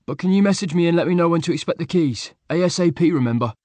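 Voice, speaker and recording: A man voicing a tense, prickly young man who talks in a clipped, impatient way, raised above his narrating pitch and a bit louder. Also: fast, no echo, natural voice.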